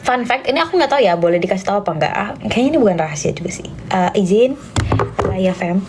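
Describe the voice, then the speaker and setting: A woman's voice: mid-range voice, animated, fast talker, background noise. Chatty young woman, livestream Q&A. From Indonesia. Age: young adult. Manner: chatty, mixes English phrases, drops to a whisper at times. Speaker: livestreamer answering viewer questions. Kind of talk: casual live Q&A chat.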